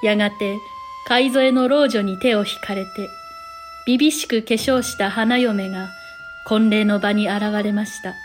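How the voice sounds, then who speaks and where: A woman's voice: mid-range voice, unhurried, soft background music, lightly acted. A woman delivering polite scripted narration for a Japanese historical audio drama.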